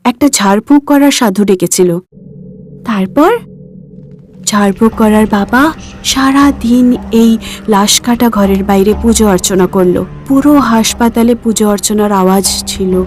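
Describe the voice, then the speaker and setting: A woman's voice: mid-range voice, measured pace, darkish tone. A woman giving scripted narration for a cartoon ghost story. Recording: music behind the voice.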